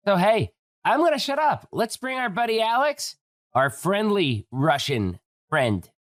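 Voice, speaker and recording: Slow-talking chatty podcast host man. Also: high-pitched, very animated, a bit bright, no echo, clean studio sound. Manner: chatty, enthusiastic, jokey. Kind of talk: casual interview.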